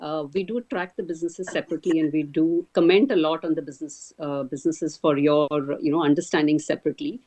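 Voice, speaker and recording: A woman, a finance executive, answering an analyst on an earnings call in a diplomatic, measured way. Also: sits low, steady tone, dark tone.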